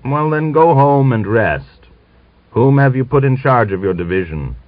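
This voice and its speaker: A man's voice: mid-range voice, lively intonation, unhurried, no room sound, voice pushed up, lightly acted. Man putting on a blustering, genial Cossack officer. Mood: cajoling. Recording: dull lo-fi audiobook recording.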